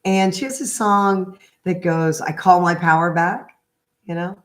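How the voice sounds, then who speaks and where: A middle-aged woman in a gentle read-aloud style with casual, chatty asides and a halting, unhurried manner. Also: on the low side. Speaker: spiritual healer reading a picture book aloud.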